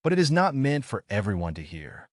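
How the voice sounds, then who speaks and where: A man voicing a wise, kindly old forest guardian in a bedtime story, gentle and solemn in his measured delivery. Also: medium pitch, very animated, no echo, clean studio sound. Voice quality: soft, gentle.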